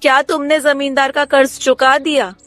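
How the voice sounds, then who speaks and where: High-voiced, scolding village wife played by a woman. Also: little pitch movement, moderate pace, a bit bright, no echo, faint music behind. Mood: puzzled. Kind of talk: Hindi cartoon story dialogue.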